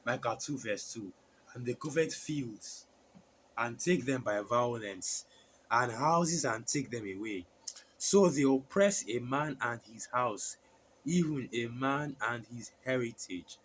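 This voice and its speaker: Hoarse man preaching a sermon. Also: medium pitch, lively intonation, fairly bright tone, a little hiss or hum, rough recording. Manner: halting, with many fillers and restarts. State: hoarse from a sore throat. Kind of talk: sermon with scripture reading.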